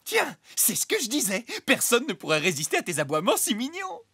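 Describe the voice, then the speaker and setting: A woman's voice: on the high side, huge pitch swings, fairly quick, fairly bright tone, husky, no room sound, clean studio sound, heavily acted. A woman playing a doting, enthusiastic dog owner with a cooing manner in a children's cartoon. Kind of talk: animated children's cartoon dubbing.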